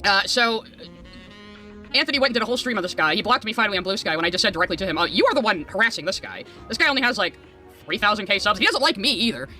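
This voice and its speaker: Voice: medium pitch, expressive, racing, pushed and bright, soft background music. A commentator talking casually in an exasperated, sardonic way, telling stories with animation. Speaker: video commentator.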